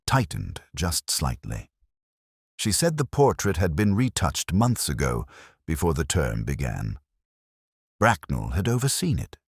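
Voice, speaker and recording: A man reading scripted first-person mystery narration in a measured, literary, brooding manner. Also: a bass voice, husky, no echo, studio quality.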